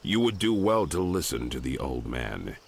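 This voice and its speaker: A man playing a gruff, commanding rebel leader in a video game, with a measured, authoritative delivery and a deep gravelly voice. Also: big pitch swings, heavily acted. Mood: challenging. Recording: loud insect and ambience noise behind.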